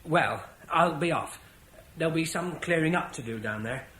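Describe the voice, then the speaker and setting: An actor playing a breezy, clipped young warrior in a vintage radio drama. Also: male voice, a little high, animated, normal speed, pushed and bright. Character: young British warrior, breezy, upper-class. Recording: roomy echo with faint hiss.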